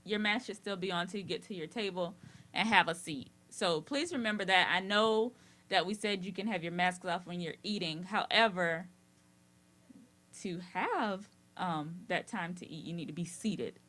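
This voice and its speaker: A woman co-hosting a school's afternoon announcements, chatty and lively, addressing students directly. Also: on the low side, moderate pace. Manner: chatty, lively, laughs easily. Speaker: school staff co-host.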